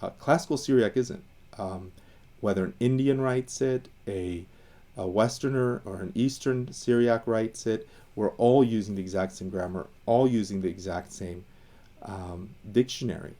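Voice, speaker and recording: A man from Iran talks through language history, with a low, mellow voice. He thinks aloud, full of fillers and false starts. He slows down to dwell on each term he defines. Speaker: educator on Assyrian language and identity. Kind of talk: casual explanatory talk.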